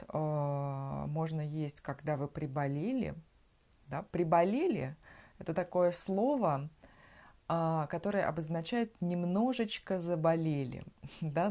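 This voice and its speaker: A woman from Russia hosting a podcast, explaining words slowly and simply and often checking in with the listener. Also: sits low, mellow. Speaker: podcast host teaching Russian. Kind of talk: slow conversational language lesson.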